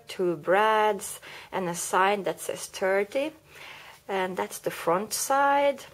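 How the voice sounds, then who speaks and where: A woman with a cold and a slightly rusty voice, chatting through a card-making tutorial step by step and correcting herself along the way. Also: mid-range voice. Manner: chatty, explains step by step with small self-corrections. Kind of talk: casual craft tutorial. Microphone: camera mic over a craft table, hands only.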